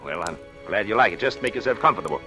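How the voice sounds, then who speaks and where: An actor playing a calm, controlling psychiatrist in an old-time radio thriller, educated, polite and commanding. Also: male voice, on the low side, fast talker, faint music behind. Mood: courteous, controlled.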